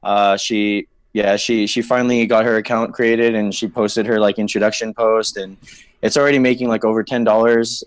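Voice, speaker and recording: A man's voice: on the low side, dry recording. A young man from Saipan calling in, easygoing and chatty, with lots of "like" fillers. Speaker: caller. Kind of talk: casual call-in chat.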